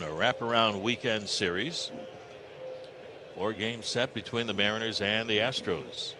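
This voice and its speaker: American baseball announcer over ballpark noise. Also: male voice, fairly low pitch. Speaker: baseball radio play-by-play announcer. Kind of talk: live play-by-play commentary. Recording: ballpark ambience noise behind broadcast. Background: stadium ambience.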